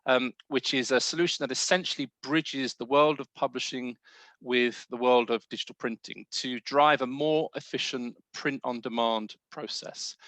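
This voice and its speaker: A man hosting the event, delivering a scripted business pitch. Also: pushed and bright, dry recording. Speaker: host. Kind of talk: prepared presentation.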